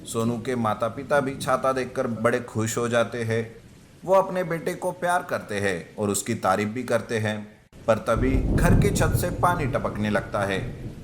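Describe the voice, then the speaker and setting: Male narrator for children's moral tales: mid-pitched, scripted storytelling, loud noise behind. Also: lively intonation, unhurried.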